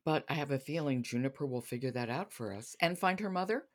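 A woman's voice: rumbling low, animated, quick pace. A woman hosting a conversational interview, responsive and inquisitive. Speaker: host.

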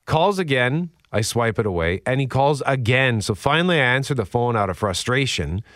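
A man with a deep voice hosting a casual radio show, telling a story in a lively, exasperated way. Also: normal speed, dry recording, clean studio sound. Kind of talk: casual morning radio chat. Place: radio studio.

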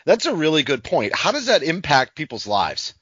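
A man's voice: a little high, huge pitch swings, moderate pace, fairly bright tone, slightly rough, no room sound. A man co-hosting a casual sports podcast, talking conversationally with the others. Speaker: podcast co-host. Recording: slightly dull, dry podcast audio.

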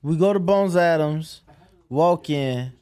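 A man co-hosting a casual podcast, upbeat and friendly, giving shout-outs and recounting the day. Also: fairly high pitch, slow, clear, resonant tone. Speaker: podcast co-host. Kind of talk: casual boxing chat.

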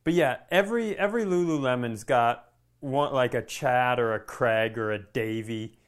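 A man who works as a comedian, co-hosting a podcast with animated, exaggerated, sweary storytelling in casual banter. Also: medium pitch, normal speed.

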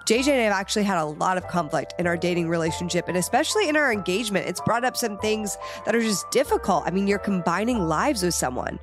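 A young woman with a light lilt talks through her podcast in an upbeat, encouraging way. A head cold leaves her sounding nasal, and music plays clearly beneath her voice. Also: mid-range voice, animated, fast talker, few pauses. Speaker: podcast host. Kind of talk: casual podcast chat.

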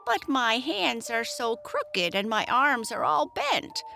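A woman voicing a lonely, grumpy old witch in a bedtime story, with a shrill, dramatic manner. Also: sing-song, slow, gravelly, pushed and bright, soft background music, exaggerated voice. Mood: cranky.

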